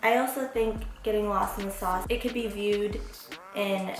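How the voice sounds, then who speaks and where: Woman, twenties, from Las Vegas. Vlog host, frank and reflective, gravelly fry. Echoey room. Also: mid-range voice, fairly even intonation, moderate pace. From Las Vegas, USA. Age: 20s. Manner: candid and reflective, casual swearing. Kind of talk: casual vlog monologue. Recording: echoey room with background music.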